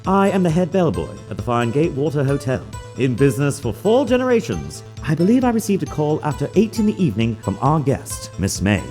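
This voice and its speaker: A man's voice: fairly high pitch, sing-song, a little fast, few pauses, a little mellow. A man voicing a prim, obsequious head bellboy in a video game dub, overly formal and exact. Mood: proud, formal. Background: music.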